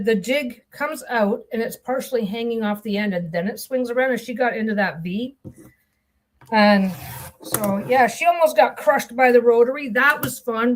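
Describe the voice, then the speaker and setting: A middle-aged woman talking casually while she sews, telling a long meandering story with many restarts and distracted asides. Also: fairly high pitch. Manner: chatty, meandering storytelling with frequent restarts and self-interruptions; distracted asides about her sewing. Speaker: vlogger sewing on camera. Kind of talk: rambling casual chat while sewing.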